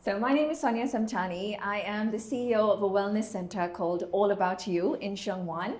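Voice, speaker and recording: A woman's voice: mid-range voice, fairly quick, a little mellow. A woman who runs a wellness center and works as a hypnotherapist, introducing herself in a composed, professional way. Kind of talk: panel introduction. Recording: echoey room.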